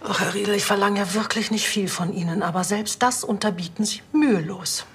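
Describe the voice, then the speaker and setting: A woman's voice: medium pitch, brisk, slight background noise, close to an everyday voice. An actress playing an exasperated, sarcastic female police chief, dry and impatient.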